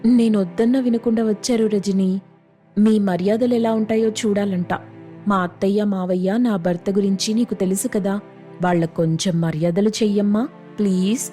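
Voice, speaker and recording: A woman's voice: mid-range voice, fairly even intonation, moderate pace, mellow, soft background music, lightly acted. A woman playing a weary, pleading married sister caught between relatives in a story. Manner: pleading, resigned.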